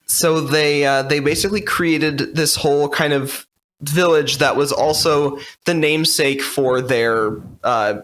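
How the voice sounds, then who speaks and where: A man co-hosting a podcast, chatting casually through a walkthrough in a halting, loosely worded way. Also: fairly high pitch, fairly bright tone. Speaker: podcast co-host.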